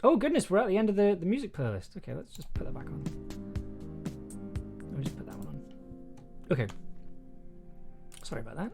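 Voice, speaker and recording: Livestream host, medium pitch, casual and halting, thinks aloud, music underneath. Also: huge pitch swings, darkish tone. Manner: hesitant, halting, self-interrupting, thinking aloud. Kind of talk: casual livestream chat while writing and reading aloud. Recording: music playing behind the voice. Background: music, computer keyboard typing.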